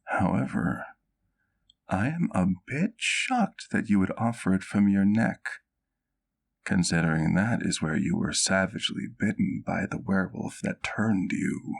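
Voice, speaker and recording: A man's voice: low-pitched, animated, gravelly, heavily acted. A man playing a courtly, doting vampire doctor in an ASMR roleplay, speaking intimately to the listener in formal, precise words. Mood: measured, curious. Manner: formal, precise, measured wording.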